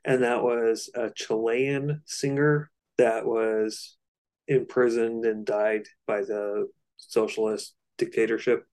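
Low-pitched man in casual music discussion. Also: steady tone, slow pace, a little mellow. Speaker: podcast co-host.

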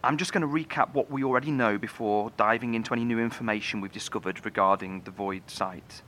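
An actor playing a grave, cautious lead engineer of a survivor bunker in a horror audio drama, explaining carefully in a halting, solemn way. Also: male voice, lowish voice, a bit bright, a little hiss or hum, close to an everyday voice. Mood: grave, analytical.

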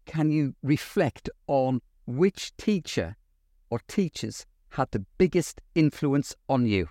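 A man presents as a podcast host and interviewer. His phrasing is formal and measured, in a medium-pitched voice. The sound is dry, with very little room around it. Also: animated, mellow, no echo. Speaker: podcast host, university lecturer. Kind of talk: scripted introduction and interview questions.

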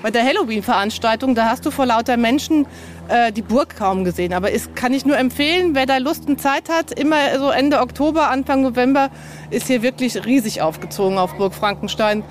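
A woman's voice: on the high side, expressive, brisk, soft background music. A woman guest chatting informally and easily on location, thinking aloud as she goes. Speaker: author, podcast guest. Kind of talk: casual chat on location. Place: on a castle visit. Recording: location recording with background ambience.

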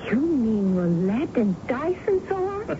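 An inquisitive eighteen-year-old daughter in a seventies radio mystery. Her voice is high and swoops with eagerness. It comes through a muffled broadcast with loud noise. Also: sing-song, a little slow. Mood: wistful, questioning. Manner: eager, questioning. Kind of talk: 1970s radio drama acting.

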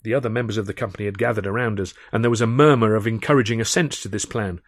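A man reading a first-person audiobook in a measured, expressive and wry storytelling manner, close on the microphone. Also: on the low side, dry recording. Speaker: author reading his own novel.

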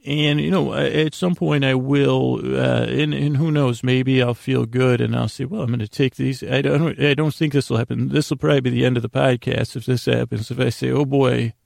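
A man with a gravelly, creaky voice rambling through a comedic monologue as a podcast host, halting, meandering and self-deprecating. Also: medium pitch, steady tone, darkish tone, no echo, clean studio sound.

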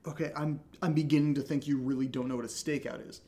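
A man's voice: fairly even intonation, fast, warm tone, natural voice. A man playing an exasperated, skeptical young American straight man in a comedic audio drama, speaking with casual, dry sarcasm. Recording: noisy low-level home recording.

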